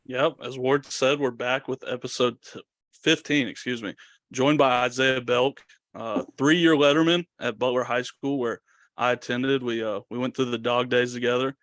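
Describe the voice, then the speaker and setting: A man's voice: mid-range voice, steady tone, moderate pace, fairly bright tone, no echo. A young man co-hosting a podcast, introducing his guest in a friendly way with small slips. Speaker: podcast co-host. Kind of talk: casual chat.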